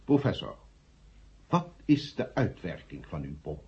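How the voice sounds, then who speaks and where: An actor playing a stern, formal male chairman with a pompous, measured manner in a radio drama. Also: low voice, sing-song, a little mellow, slightly rough, full character voice. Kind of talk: 1970 Dutch radio drama acting. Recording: muffled old 1970 radio recording.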